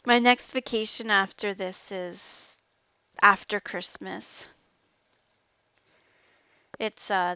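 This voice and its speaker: A woman's voice: medium pitch, a bit bright, no room sound, lo-fi sound. A woman with an Ontario Canadian accent chatting casually while streaming a game. She laughs often and trails off mid-sentence.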